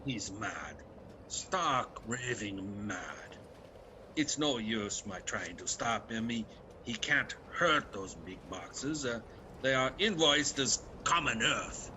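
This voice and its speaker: Grim, slow-speaking sea captain, played by a man. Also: mid-range voice, expressive. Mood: alarmed. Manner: terse, clipped phrasing. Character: grim, dutiful, frightened male sea captain. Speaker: actor. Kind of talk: radio play acting, log narration. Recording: faint background noise.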